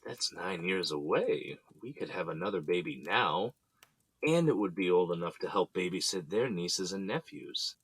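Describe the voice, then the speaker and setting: A man's voice: sits low, sing-song, moderate pace, a bit bright, breathy edge, no echo, full character voice. A man playing an easygoing, affectionate husband and father, playful and coaxing, in a naturalistic audio drama.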